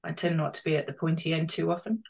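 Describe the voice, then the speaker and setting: A woman's voice: low-pitched, level tone, fast, creaky, darkish tone. A woman in her fifties from London with a British accent, answering questions in a casual conversational interview. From London, England. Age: 50s. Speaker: interviewee, interpretive planner and tourism marketer.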